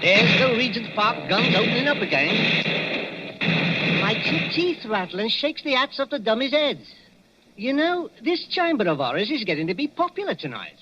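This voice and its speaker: A man's voice: very high voice, big pitch swings, moderate pace. A chatty night watchman. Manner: chatty, chuckling, says "Governor". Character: cheerful Cockney male night watchman at a waxworks. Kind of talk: 1940s radio acting. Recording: dull old 1940s radio broadcast, faint hiss.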